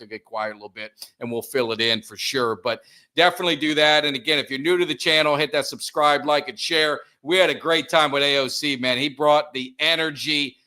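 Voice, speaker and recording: A man's voice: medium pitch, bright, forceful tone. Upbeat middle-aged man hosting a stream. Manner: upbeat, plugs the channel often. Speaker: podcast host. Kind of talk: casual live-stream chat.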